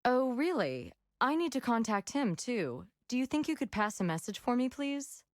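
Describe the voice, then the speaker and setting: A persistent FBI agent, distant. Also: female voice, fairly high pitch, sing-song, moderate pace. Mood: polite. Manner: polite, probing questions. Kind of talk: video game voice acting.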